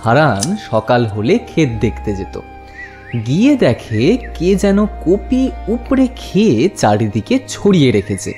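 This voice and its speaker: Lively male storyteller over music. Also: medium pitch, measured pace. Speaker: narrator. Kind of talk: scripted fairy-tale narration.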